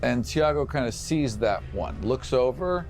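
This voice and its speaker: A man working as a game master, describing scenes for a tabletop fantasy game in short, halting phrases. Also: medium pitch, animated, over music. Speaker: game master and podcast host.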